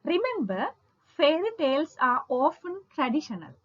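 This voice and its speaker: Female lecturer for scripted lesson narration; high voice with lively, swooping intonation, deliberate and instructional, lo-fi sound. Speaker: English literature lecturer. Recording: dull lo-fi audio.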